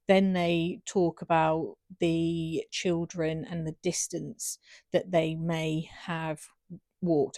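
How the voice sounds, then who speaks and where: A woman hosting a true crime show, calmly summarizing police radio audio. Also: on the low side, no room sound. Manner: measured, summarizing. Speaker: true crime podcast host. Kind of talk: commentary on archive police audio.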